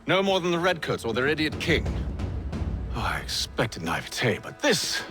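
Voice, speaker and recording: A man's voice: on the high side, sing-song, moderate pace, music in the background. An actor playing a haughty, sardonic middle-aged father and villain in a video game, with a condescending, emphatic delivery. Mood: scornful. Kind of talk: dramatic video game acting.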